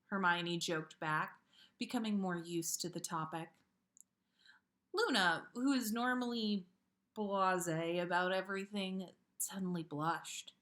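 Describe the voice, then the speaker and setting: A woman's voice: fairly low pitch, a little slow, fairly bright tone. A woman podcast host reading a story aloud live in an informal way, now and then stumbling and rereading phrases.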